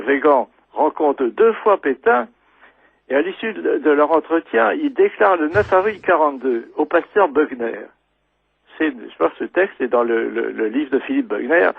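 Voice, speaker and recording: An older man with a gravelly, husky voice, lecturing haltingly as a guest historian, repeating words and insisting on his digressions. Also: on the high side, no echo. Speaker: guest military historian, retired general. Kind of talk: radio lecture from notes, reading quotations. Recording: thin, dry phone-like line.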